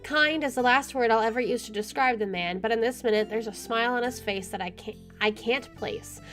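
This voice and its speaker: High-voiced woman narrating a game. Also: brisk, a bit bright. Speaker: let's player. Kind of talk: reading game narration aloud with casual commentary. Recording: faint music underneath.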